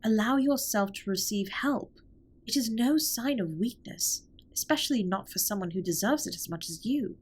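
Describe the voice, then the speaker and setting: A woman playing a formal, caring alien spaceship captain in an intimate audio drama, speaking in a measured, precise way with a breathy, husky voice. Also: medium pitch, expressive. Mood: concerned, earnest. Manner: formal, measured, precise wording with few contractions. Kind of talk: scripted roleplay audio drama, intimate comfort monologue.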